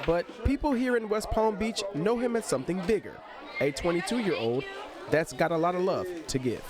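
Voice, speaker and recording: A man's voice: sits high, lively intonation, normal speed, a crowd in the background. A man working as a TV news reporter, narrating a scripted feature package.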